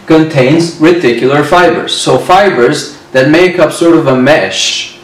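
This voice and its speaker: A man in his twenties giving a whiteboard lecture on histology, speaking slowly and repeating key terms. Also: a little high. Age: 20s. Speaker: medical lecturer. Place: indoor room at a whiteboard. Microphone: far camera. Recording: very echoey room, distant mic.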